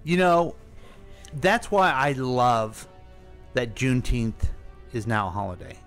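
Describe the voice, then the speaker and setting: A man's voice: medium pitch, slow, a bit bright, soft background music. A middle-aged American man co-hosting a radio show, asking questions in casual conversation.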